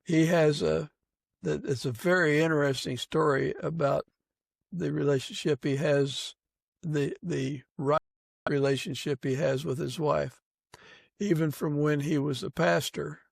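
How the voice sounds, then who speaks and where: Gravelly, halting male podcast host. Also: fairly high pitch, fairly even intonation, dry recording, clean studio sound. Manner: halting, pausing mid-sentence. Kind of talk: casual interview.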